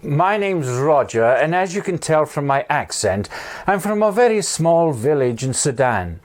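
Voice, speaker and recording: A man's voice: on the high side, big pitch swings, unhurried. A man playing a flamboyant, fussy theatrical actor in a comedy sketch, camp and calling everyone darling. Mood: chatty.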